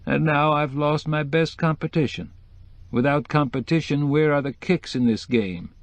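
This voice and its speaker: A man's voice: fairly high pitch, normal speed, no echo, voice pushed up, close to an everyday voice. A man voicing a witty, flamboyant decorator in an audiobook, sprinkling French phrases into hip slang. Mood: wry. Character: flamboyant, witty male interior decorator, sly and gushing. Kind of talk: acted audiobook dialogue.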